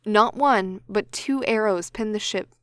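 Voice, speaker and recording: A woman's voice: mid-range voice, measured pace, clean tone, no room sound, studio quality. A woman reading scripted story narration for an audio drama. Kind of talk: scripted audiobook-style narration, blooper takes.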